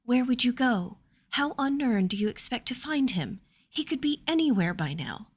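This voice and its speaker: A woman reads the part of a young noblewoman in a fantasy storybook. Her voice is soft and earnest, lifted a little in pitch, with a gentle, worried and principled temper. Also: big pitch swings, moderate pace, husky. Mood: challenging.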